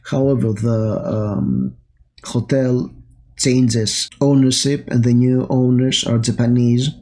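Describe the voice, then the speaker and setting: A man talks through a horror story in a casual review, his voice mellow and warm. He speaks at a middling pitch and keeps a loose, chatty flow, dotted with "uh" and "um" as he goes. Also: fairly even intonation, slow. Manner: chatty, filler-heavy retelling. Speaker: host.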